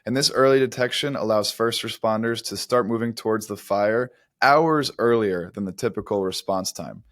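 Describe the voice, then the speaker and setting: Low-voiced male podcast co-host. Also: normal speed, no room sound, studio quality. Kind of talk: semi-scripted startup news discussion.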